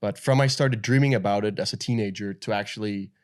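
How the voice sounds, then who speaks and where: A young man from Denmark, a guest in an interview, telling his story in a relaxed, loose way with lots of fillers. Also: lowish voice, fast. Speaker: guest, pro paddle surfer. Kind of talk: casual interview talk.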